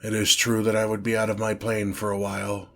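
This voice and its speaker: Male actor as demon lord, low-pitched, gravelly, pompous, formal, easily exasperated, grandiose yet petty, fantasy roleplay audio. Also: monotone, a bit bright. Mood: reluctant, irritated. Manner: pompous, formal, slow deliberate phrasing with irritated sighs. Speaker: character performer in roleplay audio. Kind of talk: scripted ASMR fantasy roleplay, one-sided dialogue.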